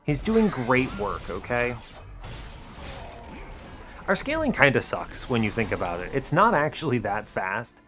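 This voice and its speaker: A man's voice: mid-range voice, measured pace, pauses often. A man giving casual, explanatory video game commentary in an informal way, often checking in with the listener. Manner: informal, animated bursts, checks in with rhetorical "right?" and "okay?". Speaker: video game streamer, series host.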